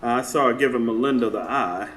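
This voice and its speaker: A man's voice: medium pitch, a little fast, a bit bright, close to an everyday voice. A man acting as a jovial, teasing, worn-out friend in a radio drama. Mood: amused, teasing.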